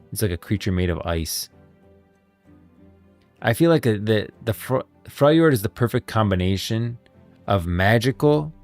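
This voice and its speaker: A man's voice: low voice, creaky. A man giving casual, enthusiastic livestream commentary on game lore, emphatic and opinionated. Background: background music.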